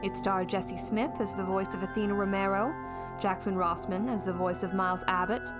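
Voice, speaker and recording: A female announcer delivers scripted credits for a fiction podcast. Her voice sits at a middle pitch. Prominent music plays behind her. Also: steady tone, moderate pace.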